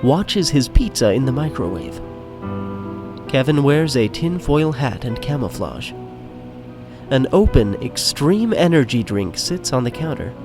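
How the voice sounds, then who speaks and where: A man's voice: mid-range voice, big pitch swings, measured pace, music in the background. A man playing the wry, dramatic narrator of a scripted audio drama.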